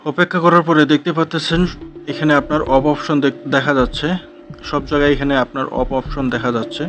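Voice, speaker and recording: A man's voice: a little high, quick pace, a bit bright. A man with a Bangladeshi accent narrating a step-by-step tech tutorial as its host, in an instructional, repetitive way. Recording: dull lo-fi voice-over with music behind. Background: clear background music.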